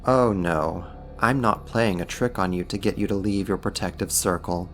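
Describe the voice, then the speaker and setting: A man voicing a powerful, smugly dominant archdemon in an ASMR roleplay, mocking and menacing with a theatrical, deliberate delivery. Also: deep voice, soft background music. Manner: theatrical, taunting, slow and deliberate.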